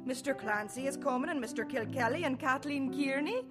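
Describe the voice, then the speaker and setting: A woman's voice: high-pitched, fairly quick, music in the background, natural voice. A woman playing a fervent young nationalist who presses a man with pointed, insistent questions.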